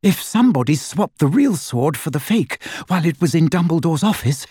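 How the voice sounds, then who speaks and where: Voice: medium pitch, very animated, moderate pace, darkish tone, slightly rough, dry recording, studio quality, voice pushed up. A performer voicing an earnest, insistent teenage girl, a young witch who speaks politely and precisely, in an audiobook. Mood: urgent.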